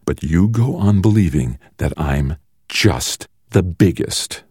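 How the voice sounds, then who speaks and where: A man's voice: low voice, lively intonation, slow pace, darkish tone, husky, dry recording, clean studio sound, heavily acted. A man voicing a cruel, mocking older brother, a menacing and theatrical boy bully in an audiobook. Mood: menacing, taunting. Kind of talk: audiobook character voice.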